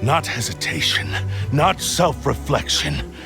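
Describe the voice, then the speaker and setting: An actor playing an arrogant, desperate spirit of a cursed sword, speaking in a menacing, grandiose and theatrical way for a video game. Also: male voice, medium pitch, very animated, slow pace. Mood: bitter, resolute. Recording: music behind the voice.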